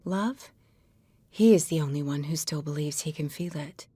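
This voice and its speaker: A woman acting as a fragile, half-mad painter in a video game, breathy and husky, drifting dreamily and cryptically between halting phrases. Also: low voice, warm tone, no room sound. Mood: resigned, fearful.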